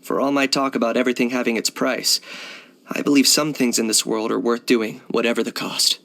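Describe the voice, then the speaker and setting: An actor playing a cool, pragmatic young hunter for hire in a video game, deadpan, matter-of-fact and laconic. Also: male voice, medium pitch, brisk, creaky. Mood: reflective. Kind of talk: video game character voice lines.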